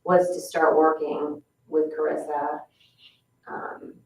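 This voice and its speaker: A woman's voice: sits low, little pitch movement, takes it slowly, a little mellow. An American woman talking informally as a commission member in a public meeting. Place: indoor public meeting room. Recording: echoey, distant, muffled, faint room noise.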